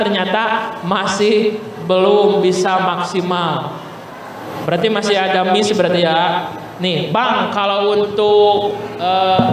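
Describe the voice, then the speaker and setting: An Indonesian man with a high-pitched voice speaks as an interviewer in a conversational way. He sounds a little away from the mic, in a very reverberant space. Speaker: interviewer, host. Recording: echoey, distant mic with faint noise.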